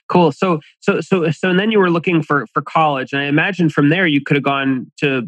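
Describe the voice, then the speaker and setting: A man's voice: on the high side, normal speed, a bit bright, dry recording. A man hosting a podcast interview, friendly and a little hesitant, often restarting his sentences. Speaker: podcast host.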